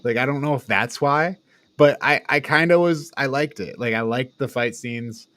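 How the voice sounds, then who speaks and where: A man's voice: medium pitch, normal speed, a bit bright. A man co-hosting a casual film review chat, informal and given to swearing and laughing. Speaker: podcast co-host.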